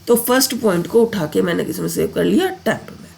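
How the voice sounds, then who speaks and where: A woman with a cold and a sore throat teaches a programming lesson, her voice gravelly with fry. She talks casually at a medium pitch. She keeps pausing to ask her students "okay?" with a rising lift. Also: lively intonation, darkish tone. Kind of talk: casual Hindi coding lesson.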